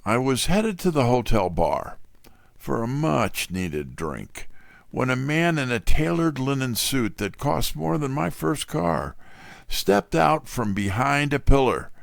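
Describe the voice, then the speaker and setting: An actor playing a wry, tough, wisecracking detective's assistant in hardboiled first-person mystery narration and dialogue. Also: male voice, mid-range voice, sing-song, slow, creaky, no echo, studio quality, full character voice.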